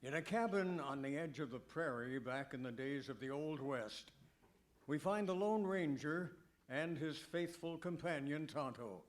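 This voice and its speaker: High-pitched man, grand radio Western announcer. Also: lively intonation, a little slow. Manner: grand, declamatory. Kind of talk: old-time radio Western scripted narration.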